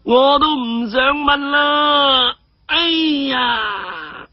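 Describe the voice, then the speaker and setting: A storyteller voicing a cheeky, quick-witted young man who pleads with exaggerated earnestness and dramatic sighs. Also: high voice, no echo, pitched above the performer's own voice, exaggerated voice. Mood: theatrical pleading. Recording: dull, muffled audio.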